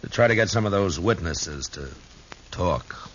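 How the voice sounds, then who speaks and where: An American actor playing a shrewd, steady frontier marshal in a 1950s radio western, speaking firmly and deliberately. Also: male voice, deep voice. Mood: dry, firm. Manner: dry, deliberate, firm. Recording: dull, noisy old radio recording.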